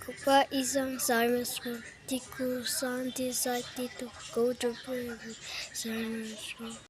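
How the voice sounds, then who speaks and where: Slow, high-pitched woman announcing an emergency. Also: flat delivery. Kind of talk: scripted emergency alert announcement.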